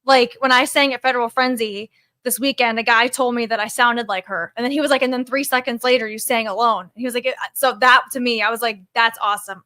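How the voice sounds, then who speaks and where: A woman guest musician chatting casually, excitable and gushing, full of "like" and "oh my gosh". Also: fairly high pitch, no echo. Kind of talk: casual chat.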